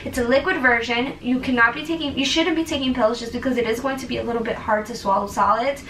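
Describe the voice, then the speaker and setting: Young woman vlogging tips, chatty, kinda high bright voice, echoey room. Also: fairly even intonation, quick pace, distant mic. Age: young adult. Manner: chatty and earnest, many fillers. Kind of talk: casual advice vlog.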